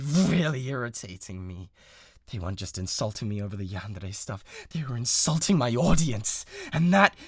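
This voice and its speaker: A man acts out an obsessive young British yandere streamer in an intimate audio roleplay. His delivery is bratty and needy, swinging widely between hushed menace and insistent pleading. The recording is very dry and close to the listener. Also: mid-range voice, dry recording. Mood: irritated, defensive. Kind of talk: dramatised one-man roleplay addressed to the listener.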